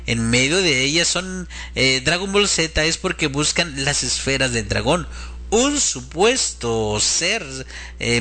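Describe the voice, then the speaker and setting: Guy doing an earnest preachy religious lecture, kinda high bright voice, really animated intonation. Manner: earnest, moralizing. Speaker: religious commentator. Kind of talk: sermon-like lecture to an audience.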